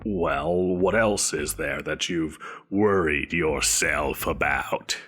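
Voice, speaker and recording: Man playing a deep, pompous, flustered supervillain boss. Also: slow pace, a bit bright, breathy edge, full character voice. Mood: defensive, proud. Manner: theatrical, haughty, stammering when defensive. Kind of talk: scripted audio roleplay acting.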